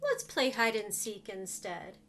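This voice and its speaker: A woman voicing a kindly male zookeeper who is sick in bed, with a gentle, slightly lifted storybook delivery. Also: mid-range voice, very animated, a little slow. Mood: tired.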